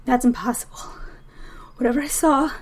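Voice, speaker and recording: A woman's voice: high-pitched, fairly even intonation, normal speed. An actress playing a shaken, stubborn young woman lost alone, muttering and whispering to herself in an audio drama. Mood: panicked. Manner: muttering to herself, self-scolding, breaking into whispers.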